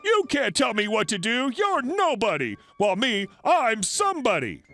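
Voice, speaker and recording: Man putting on a high, screechy, furious entitled woman. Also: sing-song, normal speed, soft background music, exaggerated voice. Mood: indignant, haughty. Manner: demanding, shouting, indignant.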